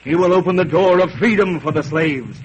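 A man's voice: a little high, brisk, lo-fi sound, a little hiss or hum, natural voice. A man playing a resolute enslaved liberator, earnest. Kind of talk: 1940s radio acting.

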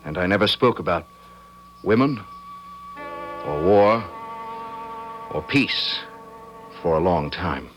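A man's voice: low-pitched, animated, slow, long pauses, over music. An actor playing a meek, cheerful young man, the priest's son, who tells his own story with wry, hesitant self-mockery. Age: young adult. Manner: self-mocking, hesitant, wry first-person telling. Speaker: narrator. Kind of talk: first-person storytelling in radio drama.

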